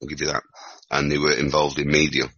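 Very deep-voiced Northern English man. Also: monotone, fast, sharp tone, no room sound. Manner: rambling, repeats words as he thinks aloud. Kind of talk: casual discussion. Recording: muffled lo-fi recording.

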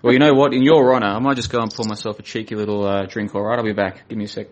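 A man's voice: lowish voice, steady tone, racing, muffled recording. A man hosting a casual chat show, chatty and teasing with his guests. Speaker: host.

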